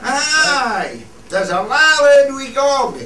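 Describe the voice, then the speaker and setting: A man's voice: extremely high pitch, expressive, very slow, sharp tone, full character voice. A man playing a jovial rustic shepherd in a radio play, speaking broad Cumbrian dialect. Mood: amused. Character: jovial rustic shepherd describing stray sheep. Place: theatre studio. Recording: roomy, distant mic, faint noise.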